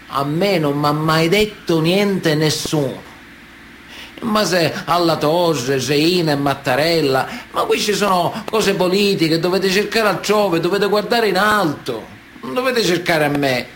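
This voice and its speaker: An actor playing an indignant, self-pitying Sicilian mafia defendant testifying in a radio play, protesting plaintively and emphatically with a Sicilian accent. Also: male voice, a little high, a bit bright. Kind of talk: dramatic radio theatre monologue. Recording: noticeable background noise.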